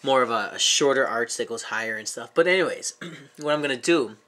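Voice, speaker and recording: A man's voice: mid-range voice, a little fast, bright, forceful tone. A man sick with a cold, talking casually in a home vlog, hesitant with many ums and restarts. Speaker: aquarium hobbyist vlogger. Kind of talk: casual show-and-tell vlog. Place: indoors at home.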